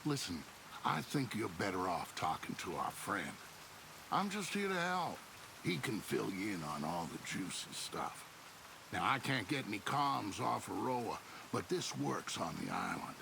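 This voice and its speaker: Gravelly, cagey covert operative. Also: male voice, fairly low pitch, sing-song, a little slow, heavily acted. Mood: brisk, evasive. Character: sly, evasive male covert operative helping an old friend. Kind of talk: video game cutscene acting. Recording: hiss and rain behind voice.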